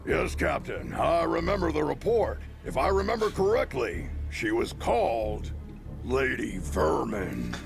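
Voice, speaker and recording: An actor playing a dramatic comic-book law officer with a gravelly, theatrical delivery in a game cutscene. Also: male voice, medium pitch, sing-song, a little slow, over music, heavily acted. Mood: dutiful, dramatic. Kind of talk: video game cutscene acting.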